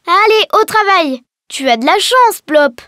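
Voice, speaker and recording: High-pitched, cheerful cartoon girl. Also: lively intonation, a little slow, pushed and bright, dry recording, studio quality, exaggerated voice. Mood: encouraging. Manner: explanatory, encouraging. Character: cheerful young Breton girl teaching her alien friend. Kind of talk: scripted educational cartoon dialogue. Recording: music behind the voice.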